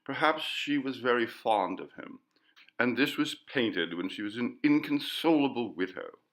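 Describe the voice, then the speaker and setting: Guy reading as a genteel wry lady in a ghost story, slow and very up-and-down. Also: mid-range voice, animated, a bit bright, pitched above the performer's own voice, low-quality recording. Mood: musing. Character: Lady Jane, uneasy, wry English gentlewoman. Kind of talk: audiobook character dialogue.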